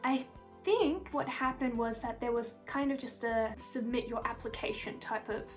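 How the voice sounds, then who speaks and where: Young woman, Australian accent, casual interview chat, upbeat, fairly high voice, faint music behind. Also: steady tone, normal speed. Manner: chatty, upbeat, frequent "like" and "kind of". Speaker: singer and interview guest.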